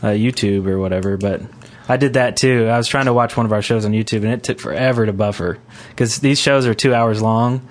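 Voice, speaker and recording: A man's voice: fairly low pitch, brisk, hardly any pauses. A man hosting a gaming podcast in a casual, conversational style. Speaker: podcast host.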